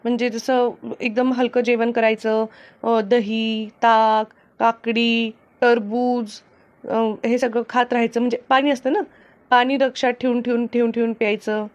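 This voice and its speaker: A woman's voice: a little high, level tone, unhurried, close to an everyday voice. A woman voicing a friendly, sensible village woman giving advice in a cartoon comedy, with a rural Maharashtrian accent, on a dull recording. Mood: advising. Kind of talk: animated cartoon comedy dialogue.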